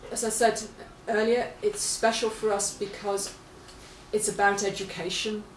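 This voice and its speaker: A woman in her fifties giving an interview answer as an award recipient. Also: mid-range voice. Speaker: honorary award recipient, education charity worker. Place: small indoor room with whiteboard. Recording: roomy, noisy, slightly distant mic.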